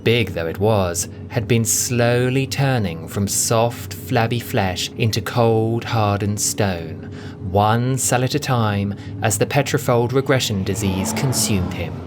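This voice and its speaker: A man narrating a scripted audio drama as a theatrical storyteller, with deliberate emphasis. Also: fairly low pitch. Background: music.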